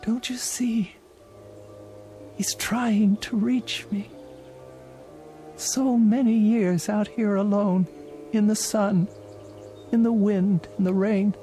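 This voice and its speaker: An imperious, lonely old spinster, played by a woman, in a vintage television drama. She speaks very slowly and haltingly, insisting on every point, with faint music behind her. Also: mid-range voice, warm tone, close to an everyday voice. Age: older. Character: imperious, lonely elderly spinster haunted by lost fiancé.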